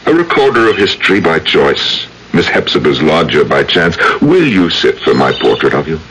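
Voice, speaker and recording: An actor playing a brooding, enigmatic gothic portraitist and ghostly storyteller, theatrical and deliberate, in old-time radio drama. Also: male voice, very deep voice, very animated, creaky, fairly bright tone, slight background noise, exaggerated voice, low-quality recording. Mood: courtly, enigmatic. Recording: old radio broadcast with music bed.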